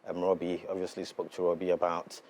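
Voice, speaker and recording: Very deep, hesitant man. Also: monotone, brisk, darkish tone, slight background noise. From born in Ghana, moved to UK. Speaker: guest, sports agency partner. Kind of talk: measured interview talk.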